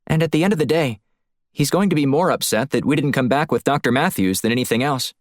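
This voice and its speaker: Man voicing an earnest woman agent, pitched up. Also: lively intonation, quick pace, no room sound, studio quality, lightly acted. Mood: reassuring, frank. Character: capable, cautious female agent, concerned and frank. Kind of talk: audiobook character voice.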